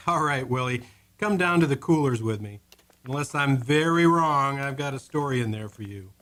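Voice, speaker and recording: A world-weary male morgue attendant, voiced by a man, in an old-time radio drama. He speaks plainly and gruffly in a mid-range voice. Death is routine work to him. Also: mid-range voice, animated, moderate pace, a bit bright, lightly acted. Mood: grim.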